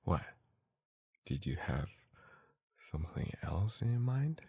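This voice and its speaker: A man acting a gruff, dominant young bully turned tender boyfriend in a romantic ASMR roleplay, breathy and raspy, halting and intimate. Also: low voice, very animated, mellow, exaggerated voice. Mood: soft, teasing.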